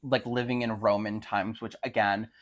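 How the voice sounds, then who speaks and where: Casting: female podcast host, low register, irreverent and sweary, campy slang in loose, casual book chat. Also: fairly even intonation, normal speed.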